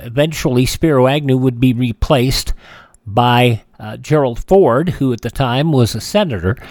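A man's voice: on the high side, a little mellow, no room sound, studio quality. A man hosting a radio show, reading this-day-in-history items with chatty asides and frequent uh fillers. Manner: chatty, fills pauses with uh. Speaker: radio host.

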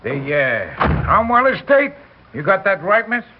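An actor playing a chatty, gossipy small-town cab driver in 1960s radio drama style. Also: male voice, sits high, wildly swooping pitch, a little slow, heavily acted. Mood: friendly. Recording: dull, noisy 1960s radio recording.

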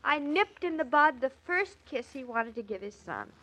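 A woman's voice: sits high, animated, normal speed, fairly bright tone, rough recording. An actress playing an anxious, fussy young newlywed mother with an earnest, hesitant manner, in 1940s radio drama style. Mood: rueful. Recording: old 1940s radio recording.

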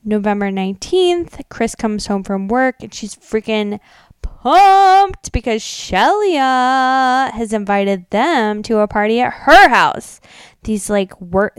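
Female podcast co-host, American, smooth and clear, informal slangy storytelling, dry recording. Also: medium pitch, lively intonation, slow pace, dry recording. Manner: informal, peppers talk with "like" and emphatic slang. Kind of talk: casual chatty retelling and commentary.

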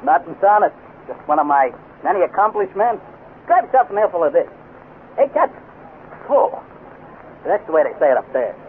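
An actor playing a brash, boastful, easily flustered space adventurer telling tall tales in a 1950s radio serial. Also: male voice, sits high, very animated, moderate pace, pauses often. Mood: excited bragging. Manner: bragging, easily flustered, slangy. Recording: noisy, lo-fi 1950s radio recording.

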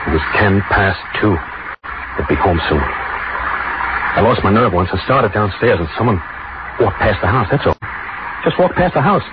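A man's voice: fairly low pitch, animated, normal speed, long pauses, heavy background noise, close to an everyday voice. An actor with an American accent playing an anxious, weak-willed lovesick man narrating a 1940s radio thriller, hesitant and intense. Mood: tense, fearful. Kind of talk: 1940s radio suspense acting with first-person narration. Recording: muffled 1940s radio recording, music behind.

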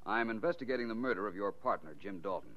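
An American actor playing a wry, self-assured private detective in a 1940s radio drama, speaking formally and articulately. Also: male voice, lowish voice, expressive, fast, natural voice. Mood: cool, probing. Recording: dull, lo-fi 1940s radio recording.

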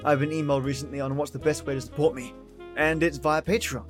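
Higher-voiced man hosting over soft guitar music. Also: fairly even intonation, fast talker. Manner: chummy, theatrical. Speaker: podcast host. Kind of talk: scripted, intimate podcast introduction.

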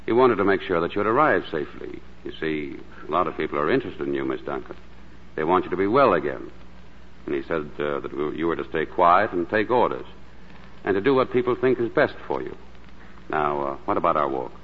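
Male sanatorium doctor, low-pitched, gently teasing, 1940s radio play, tinny reverberant recording. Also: lively intonation, brisk, slight background noise. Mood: reassuring. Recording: thin, boomy 1940s radio broadcast, faint music.

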